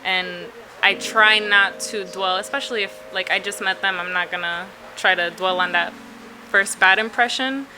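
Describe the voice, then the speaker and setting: A young woman from Florida, a photographer answering interview questions in a relaxed, chatty way with lots of fillers. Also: medium pitch, normal speed, noisy background. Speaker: photographer guest.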